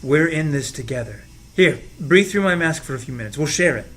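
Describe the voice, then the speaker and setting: A man playing a soft-spoken double agent posing as a caring friend, in a dramatic script reading. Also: fairly high pitch, expressive, fairly quick. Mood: reassuring. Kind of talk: dramatic script table read.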